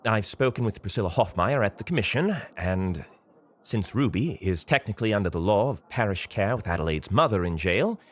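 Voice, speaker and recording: An actor playing a formal, measured, officious British government official in an audio drama. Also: male voice, on the low side, expressive. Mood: formal, regretful.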